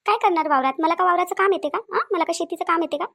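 A woman's voice: extremely high pitch, rapid-fire, creaky, fairly bright tone, full character voice. A woman playing a stubborn, bossy city wife in a cartoon, arguing insistently and repeating questions in a Vidarbha Marathi accent. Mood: argumentative. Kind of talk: cartoon dialogue.

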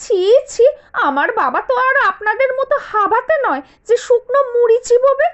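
Very shrill, spoiled young daughter-in-law. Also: female voice, very animated, moderate pace, a bit bright, exaggerated voice. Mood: indignant. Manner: scornful, complaining, demanding. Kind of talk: Bengali cartoon voice acting. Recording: dull lo-fi audio with music behind.

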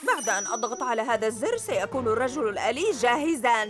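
A woman's voice: fairly high pitch, very animated, fast. A woman voicing a gloating boy villain, a young mad inventor in a cartoon, boastful and cackling. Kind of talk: animated series dub. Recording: music under dialogue.

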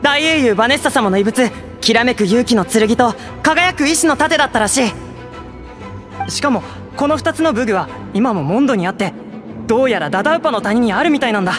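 A woman plays an earnest, admiring young male adventurer from an anime game. The voice is fairly high and very lively in pitch, eager to please, over clear background music. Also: a little fast, lightly acted. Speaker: game character: novice adventurer. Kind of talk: Japanese anime game voice acting.

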